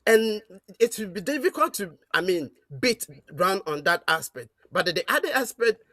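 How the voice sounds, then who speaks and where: A high-pitched man speaks on a call-in show in a halting, sermon-like way, stopping often to search for words. His voice is dry and close. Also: a bit bright, dry recording. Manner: halting, with many fillers and restarts. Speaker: caller. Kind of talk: preaching-style call-in remarks.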